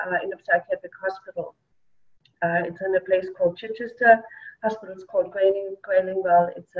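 A woman in her late fifties with a German accent talks in a measured, halting way, filling her many pauses with soft "uh"s. She speaks from a home office over a video call, and the sound comes through narrow and tinny, a bit distant from the mic. Her pitch stays at an ordinary middle level. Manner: hesitant, many "uh" pauses, self-effacing. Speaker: award-winning community practitioner. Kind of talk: reflective conversational talk. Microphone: laptop mic on a video call.

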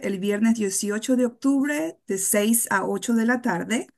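Woman on a video call, kinda high voice, slow, says "so" a lot. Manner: unhurried, slips in English fillers. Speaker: guest, women's networking organizer. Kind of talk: describing an event in an interview.

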